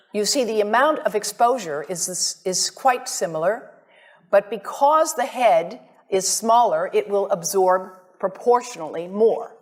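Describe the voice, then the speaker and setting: An American woman giving an academic presentation as a visiting scientist, speaking in a deliberate, emphatic way straight to her listeners. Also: medium pitch. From United States.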